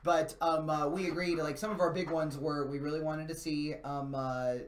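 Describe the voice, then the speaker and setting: A man's voice: on the high side, flat delivery, moderate pace. A man, co-hosting a gaming live stream in casual, rambling, enthusiastic and slangy chat as he answers viewers. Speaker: co-host of a video game live stream. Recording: echoey room.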